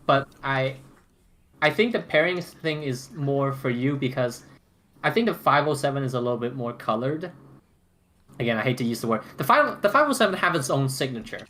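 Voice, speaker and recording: A man in casual podcast banter, halting and repeating words as he teasingly challenges the others. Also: mid-range voice, animated, a bit bright. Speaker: podcast panelist.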